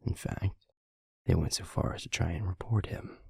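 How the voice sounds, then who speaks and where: A man playing a stern, guarded werewolf pack alpha in an audio roleplay, speaking formally and deliberately in a gravelly, husky voice. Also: low-pitched, dry recording, studio quality, heavily acted. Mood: grave, measured. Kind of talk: scripted audio roleplay acting.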